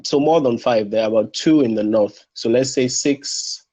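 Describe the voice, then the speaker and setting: A man's voice: moderate pace, dark tone. A young man, a French speaker, answering interview questions in a hesitant way with many restarts. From Benin. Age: young adult. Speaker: translation student guest. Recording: muffled low-fidelity audio.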